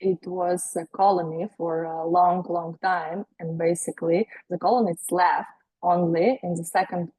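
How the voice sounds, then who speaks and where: A woman's voice: on the low side, fairly even intonation, a little slow, darkish tone, slightly rough, no echo, low-quality recording. A woman from Latvia, explaining matter-of-factly as a guest on a webinar over a video call. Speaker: guest speaker. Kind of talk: webinar interview.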